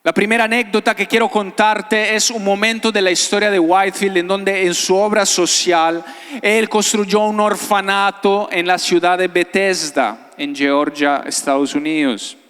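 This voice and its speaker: A man's voice: high voice, fairly even intonation, measured pace, a bit bright, clean tone. A man from Italy preaching to a congregation, conversational, repeating phrases and checking in with rhetorical questions. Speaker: pastor, guest preacher. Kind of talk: sermon to a live congregation. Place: large church hall before a congregation. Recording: reverberant hall, amplified preaching.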